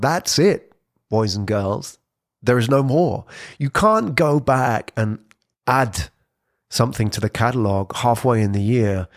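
A man giving an informal explainer talk on podcasting, lively and conversational, with frequent "sort of" hedges. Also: medium pitch, no echo, studio quality. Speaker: presenter on brand podcasting.

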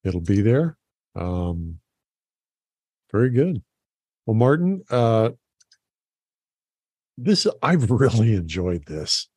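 Low-voiced relaxed podcast host, dry. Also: male voice, slow, pauses often, slightly rough, dry recording. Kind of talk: casual interview chat.

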